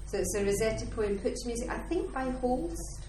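Slightly croaky woman, speaker at a centre, chatty and self-deprecating, raised pitch, recorded in an echoey room with a faint hiss. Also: expressive, a little fast. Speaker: visiting Buddhist speaker. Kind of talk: informal talk to a small gathering. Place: meeting room at a Buddhist centre.

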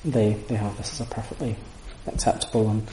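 A man lecturing to a small group, talking his way through ideas with frequent restarts and fillers. His voice is mellow and dark. The room is reverberant, with a faint hiss underneath. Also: lowish voice, level tone, fairly quick. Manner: thoughtful, many restarts and hedging fillers. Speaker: lecturer on Sanskrit texts. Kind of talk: informal lecture with audience questions. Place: room with audience.

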